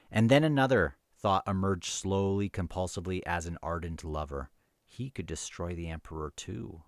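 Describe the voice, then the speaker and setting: Low-voiced man, casual book reviewer. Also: no echo, clean studio sound. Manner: earnest, halting, repeats words while thinking aloud. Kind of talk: casual book discussion mixed with read-aloud novel passages.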